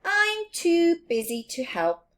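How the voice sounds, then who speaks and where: A woman's voice: extremely high pitch, sing-song, slow pace, fairly bright tone, voice pushed up, exaggerated voice. A woman voicing a dreamy, stubborn, playful little boy mouse in a children's storybook, with an airy, self-important manner. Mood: dreamy, dismissive.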